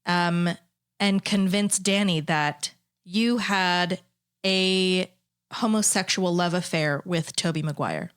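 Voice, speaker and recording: A woman's voice: lowish voice, takes it slowly, clean tone. A woman in her thirties co-hosting a podcast, answering her co-host with dry, unimpressed comebacks in casual banter. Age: 30s.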